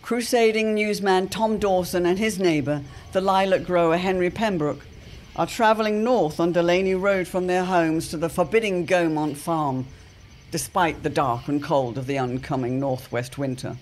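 A man playing a melodramatic narrator of a period radio serial, with ominous, drawn-out emphasis. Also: sits high, slight background noise, close to an everyday voice. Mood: dramatic, ominous. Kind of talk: theatrical old-time radio serial narration. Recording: music under the voice.

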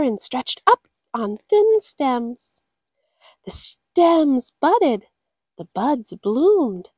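A woman reading a picture book aloud for children, as a story time host, with deliberate, dramatic phrasing. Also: fairly high pitch, dry recording.

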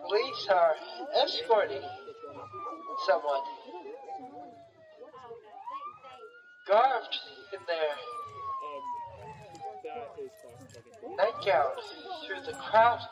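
A woman's voice: mid-range voice, big pitch swings, takes it slowly. A woman playing a self-important male news reporter in a 1920s radio drama, with showy announcer delivery. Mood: shaken. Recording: muffled, noisy, distant field recording.

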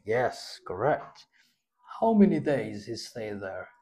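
A man's voice: lowish voice, big pitch swings, takes it slowly, mellow. A young man giving a spoken English test, patiently prompting and correcting a student's answers in a small classroom. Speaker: English teacher.

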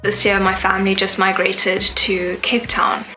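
Young woman YouTuber, about nineteen, crisp bright tone, casual filler-heavy chat from her bedroom. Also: medium pitch, little pitch movement, unhurried, soft background music, low-quality recording. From Zimbabwe. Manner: chatty, upbeat, many "like" and "yeah" fillers. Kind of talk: casual facts vlog to camera. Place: bedroom with a sofa, indoors. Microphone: camera in front at desk distance.

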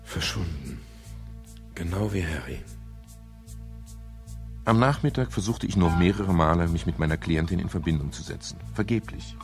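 An actor playing a wry, courteous private detective who narrates a 1970s radio crime drama. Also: male voice, sits low, lively intonation, normal speed, pauses often, soft background music. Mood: measured, narrating. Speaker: detective narrator and lead character.

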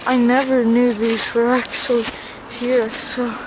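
A vlogger narrating a casual walk-through outdoors in a halting, unscripted way, picked up by a handheld camera. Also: mid-range voice, flat delivery. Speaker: vlogger exploring ruins. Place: outdoors in woods by a river and bridge. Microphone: handheld camera mic, operator behind camera. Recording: noisy outdoor camera audio. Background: ambient outdoor noise, passing vehicles.

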